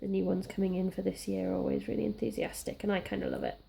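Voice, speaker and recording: A young Welsh woman, a little croaky from being sick, chatting casually and rambling while she works on a scrapbook page. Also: mid-range voice, flat delivery, quick pace, mellow. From Wales. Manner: chatty, rambling, self-deprecating. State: slightly croaky from illness. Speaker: scrapbooking crafter. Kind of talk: casual crafting chat.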